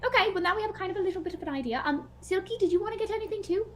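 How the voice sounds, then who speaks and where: A woman's voice: very high voice, very fast, a little hiss or hum. A young woman chatting casually, half in character, as a player in a tabletop game.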